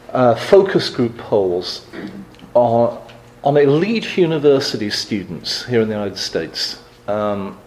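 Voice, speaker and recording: Animated English-born man lecturing with dry humor. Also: mid-range voice, a little hiss or hum, poor audio. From England. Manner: dry wry humor, frequent ums and restarts. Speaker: guest speaker on advocacy. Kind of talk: lecture to a live audience.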